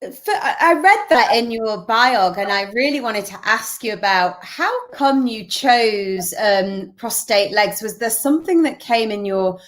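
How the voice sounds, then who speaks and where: A woman's voice: fairly high pitch, lively intonation, a little slow, hardly any pauses, a bit bright. A woman hosting an interview, asking long rambling questions with many restarts. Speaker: interview host, business school founder. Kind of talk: casual interview talk.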